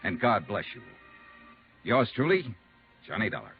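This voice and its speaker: Deep, gravelly hard-boiled investigator. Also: male voice, huge pitch swings, normal speed, faint music behind, heavily acted. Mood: brisk sign-off. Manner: clipped, hard-boiled delivery. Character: steady, hard-boiled male investigator narrating his case. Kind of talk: 1950s radio drama acting and narration. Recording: muffled 1950s radio recording.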